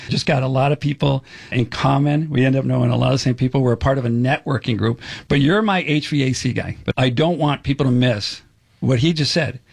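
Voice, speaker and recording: A man's voice: mid-range voice, brisk, gravelly, breathy edge, dry recording. A middle-aged man hosting a radio talk show, chatty and joking at his own expense. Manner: jokey, self-deprecating, chatty. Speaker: radio show host, real estate agent.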